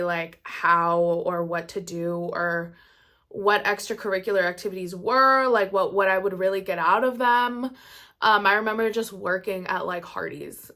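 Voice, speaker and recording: A woman's voice: medium pitch, fairly bright tone. Candid, chatty young woman vlogger. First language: Spanish. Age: 20s. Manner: candid, chatty, frequent "like" and "you know". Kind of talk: casual personal storytelling to viewers.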